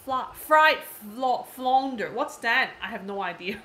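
A woman's voice: on the high side, expressive, takes it slowly, bright, forceful tone. A woman in her thirties whose first language is Cantonese, hosting a casual live stream, reading comments aloud, giggly and repeating herself. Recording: echoey room.

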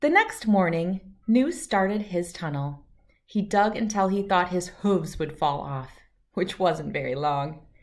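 A woman's voice: on the low side, animated, takes it slowly. A middle-aged woman narrating a children's storybook as a read-aloud host.

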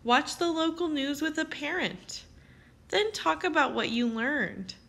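A woman reading aloud as a teacher, with expressive, carefully phrased delivery aimed at young children. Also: high-pitched, unhurried. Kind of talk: children's read-aloud narration.

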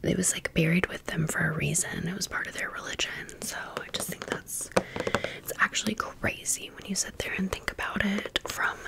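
A woman's voice: fairly low pitch, fairly even intonation, moderate pace, creaky. A woman hosting an ASMR storytime, rambling casually and conversationally with wry asides. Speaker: ASMR video host.

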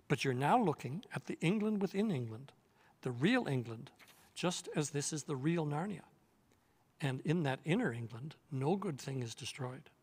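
An older man voicing a gentle, kindly faun in a read-aloud storybook, earnest and explaining. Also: a little high, lively intonation, moderate pace, slightly rough, big-room sound. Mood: reassuring. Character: gentle kindly male faun, wise and warm.

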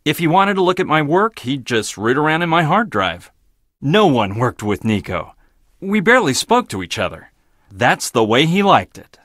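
A man's voice: fairly high pitch, sing-song, normal speed, fairly bright tone, no room sound, studio quality. An actor playing a prickly, condescending young male scientist in a video game, with clipped, sardonic delivery. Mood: dismissive, blunt. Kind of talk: video game character dialogue.

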